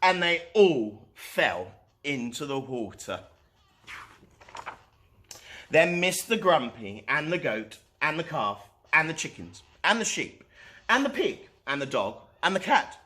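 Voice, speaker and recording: Guy doing kids storytime, bright voice, swoops all over, unhurried. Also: on the high side. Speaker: children's storytime host. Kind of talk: animated read-aloud storytelling for children.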